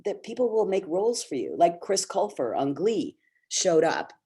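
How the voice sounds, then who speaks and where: A man's voice: sits high, very animated, moderate pace, dark tone, husky. A middle-aged man from Canada, an actor speaking on a video call webinar, talking earnestly and encouragingly with many fillers and restarts. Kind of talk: candid talk to young actors.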